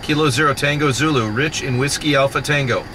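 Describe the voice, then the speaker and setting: A man runs a ham radio contest from inside a moving vehicle, speaking into a mobile radio mic at an ordinary mid-range pitch. He fires off call signs in the phonetic alphabet and confirms each contact in a brisk, repetitive rhythm, dropping in frequent "uh"s. Heavy noise from the road and the radio sits under everything. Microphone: mobile radio microphone. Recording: loud background noise from a mobile radio station.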